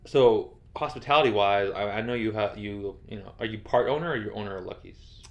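Low-voiced rambling male host. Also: brisk. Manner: rambling, lots of fillers, chatty. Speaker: podcast host, interviewer. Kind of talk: casual rambling interview. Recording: dull lo-fi audio.

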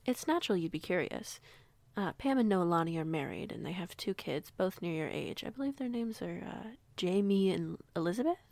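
Woman acting calm, courteous werewolf alpha, creaky voice. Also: lowish voice, dry recording. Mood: thoughtful. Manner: measured, polite, reassuring. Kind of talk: audio roleplay acting, conversational.

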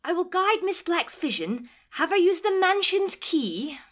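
A woman's voice: very high-pitched, sing-song, a little slow, a bit bright, heavily acted. A woman playing a polite, clever, slightly hesitant helper in an audio drama, formal and careful in speech. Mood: hesitant, earnest.

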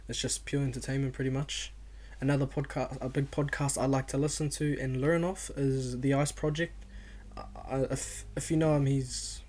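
A young man in his twenties with a New Zealand accent hosts his own podcast. He talks at an easygoing pace in a level, fairly monotone voice. He often pauses and fills the gaps with "um" and "you know". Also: mid-range voice. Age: 20s. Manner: laid-back, self-described introvert, many fillers and restarts. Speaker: podcast host. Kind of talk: casual solo podcast introduction.

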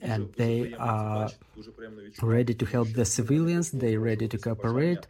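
A man giving interview answers in English translation, speaking in a measured way with restarts and repeated phrases. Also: mid-range voice, fairly even intonation, a crowd in the background.

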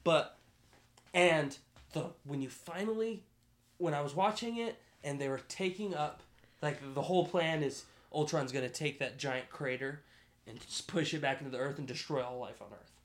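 A man's voice: a little high, moderate pace. A man hosting a casual film discussion, speaking in an opinionated, emphatic way with plenty of swearing. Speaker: podcast host.

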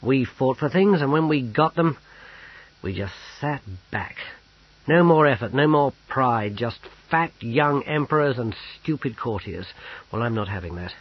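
A man acting a fiercely indignant old warrior, husky. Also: mid-range voice, expressive, a little slow, muffled recording. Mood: grimly resolute. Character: gruff, proud, stern old warrior general, disgusted, resolute.

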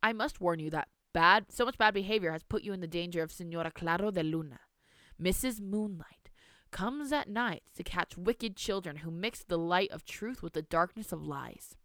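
A woman's voice: on the low side, normal speed, a bit bright, no room sound, close to an everyday voice. A woman voicing a stern old grandmother.

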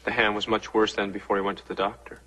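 An actor playing a young law student in a film, answering haltingly and carefully under questioning. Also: male voice, on the low side, flat delivery, pushed and bright. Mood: hesitant.

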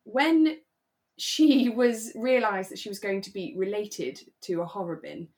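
A woman's voice: mid-range voice, a little slow, a little mellow. A woman co-hosting a podcast, chatting casually and reflectively about a radio drama. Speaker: podcast co-host.

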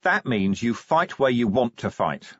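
A man's voice: mid-range voice, sing-song, unhurried, slightly rough, no room sound, lo-fi sound, pitched above the performer's own voice. Male narrator as police commander, brusque, decisive, wry, dry close sound, storybook character. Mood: firm, decisive. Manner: blunt, decisive.

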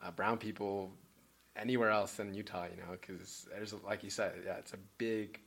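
A man's voice: lowish voice, steady tone, normal speed, gravelly, a bit bright. A young man from Peru talking casually as a podcast guest, laid-back and rambling with many fillers and restarts. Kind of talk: casual chat.

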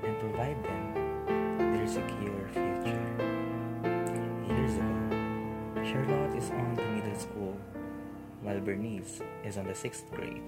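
A man's voice: lowish voice, slow, pauses often. A man playing a grieving widowed husband and father recounting his marriage in an amateur radio drama. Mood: wistful, subdued. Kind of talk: slow narrated testimony in amateur radio drama. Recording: loud piano music over voice. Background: piano music.

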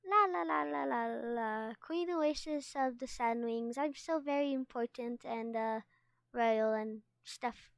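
A small young she-dragon, acted out by a woman, grandly pretending to be queen. The voice is high and smooth, dramatic and mock-regal with a timid, hesitant streak. It suits a children's storybook. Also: fairly even intonation, slow, a little mellow, no room sound, studio quality.

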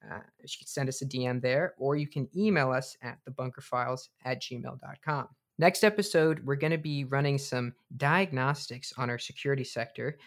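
A man playing an excitable, foul-mouthed bunker leader and tinkerer, co-hosting a comedic radio drama in a casual, hesitant way. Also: medium pitch, normal speed, hardly any pauses, natural voice. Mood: informal, upbeat. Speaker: co-host.

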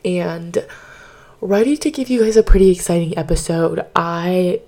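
A woman hosting her podcast in casual chat, slightly hoarse from losing her voice, chatty and rambling with lots of fillers, recorded on her bed at home into a microphone. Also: on the low side, unhurried, dark tone. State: slightly hoarse, partly lost voice. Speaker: podcast host.

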